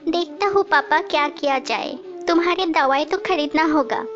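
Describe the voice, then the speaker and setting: A performer voicing a dutiful, caring, honest poor little girl in an animated moral story. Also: high-pitched, moderate pace, close to an everyday voice. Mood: determined. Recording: muffled audio, prominent background music.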